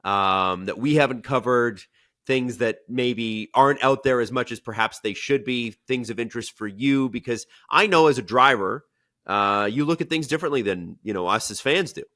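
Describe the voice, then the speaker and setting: Chatty bright-toned host on a video call. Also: male voice, medium pitch, normal speed. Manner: rambling, chatty, asks long questions. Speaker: podcast host. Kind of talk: casual podcast chat. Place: indoor studio room. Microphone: earbud mic, video call.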